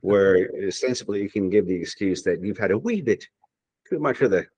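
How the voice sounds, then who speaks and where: A man's voice: fairly low pitch, lively intonation, fairly quick, a little mellow, breathy edge. A man from Tennessee, a podcast guest talking in a rambling, hesitant way, full of restarts and fillers. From Tennessee, USA. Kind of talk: casual podcast chat.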